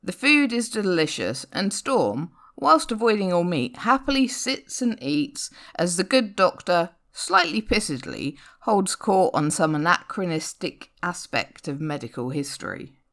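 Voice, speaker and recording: A woman reading a comic narrative poem aloud in a wry, theatrical, expressive way. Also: lowish voice, unhurried, hardly any pauses. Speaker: poetry reader. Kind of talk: dramatic spoken-word poem reading, rhyming storytelling.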